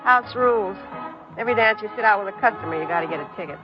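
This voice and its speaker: A woman's voice: mid-range voice, rapid-fire, close to an everyday voice. An actress playing a world-weary, tough American dance-hall woman in her thirties, blunt and wisecracking, in an old-time radio crime drama. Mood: brassy, businesslike. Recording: dull vintage radio recording, music behind.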